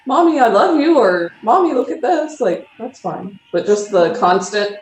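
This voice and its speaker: Woman, casual chat, chatty, laughs easily, lively pitch, echoey room. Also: moderate pace.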